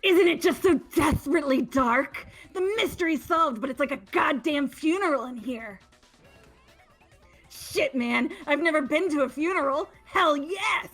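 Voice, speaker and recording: A woman's voice: sits high, a little slow, a bit bright, faint music behind. A woman playing a crude, bored, flippant young female villain in a fan-voiced game. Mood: crude glee. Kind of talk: fan dub of a visual novel game.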